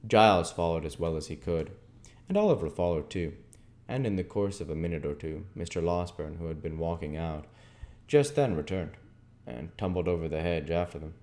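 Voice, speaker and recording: A man reading aloud as host, in expressive literary narration with measured, dramatic phrasing. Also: deep voice. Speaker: reader and host of an audiobook channel.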